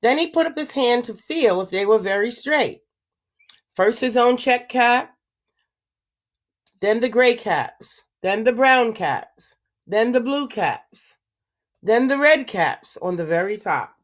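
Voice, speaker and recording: A woman reading a picture book aloud to children, slow and expressive, pausing to ask them questions. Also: on the high side. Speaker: children's story reader. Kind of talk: read-aloud storytelling for children.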